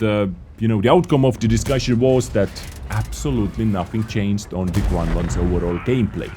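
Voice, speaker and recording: A man's voice: fairly low pitch, dark tone, a little hiss or hum. A man who speaks English as a second language, giving an analytical, conversational voice-over commentary on his own gameplay. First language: not English. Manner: analytical, conversational, plain-spoken. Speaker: gaming channel host.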